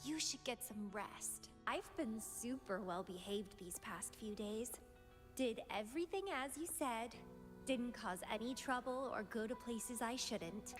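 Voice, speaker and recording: Woman acting a high-voiced, teasing, obsessive young woman. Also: measured pace, close to an everyday voice. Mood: playful, casual. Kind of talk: anime-style video game voice acting. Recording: loud music behind the voice. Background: music.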